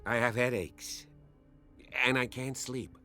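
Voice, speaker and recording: A man's voice: mid-range voice, fairly even intonation, slow, sharp tone, soft background music. An actor playing a troubled, sleepless, self-conscious male patient in a video game, speaking hesitantly and broodingly. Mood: awkward, defensive. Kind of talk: video game cutscene acting.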